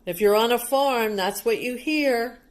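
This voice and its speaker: A woman's voice: fairly high pitch, unhurried. A woman reading a picture book aloud to young children like a teacher, explaining things simply and asking them questions.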